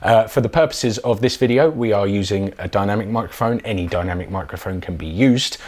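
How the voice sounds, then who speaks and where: Male product demonstrator, lowish voice, casual and conversational delivery. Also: brisk, a little mellow.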